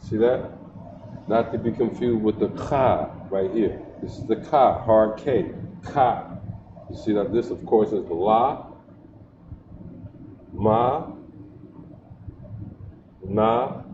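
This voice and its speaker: Man, slow teacher, drills syllables, checks understanding often, roomy echo, muffled noisy audio. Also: lowish voice. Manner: repeats syllables and keeps checking "you see that?". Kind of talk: teaching letter sounds by drilling. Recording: echoey, dull, noisy livestream audio.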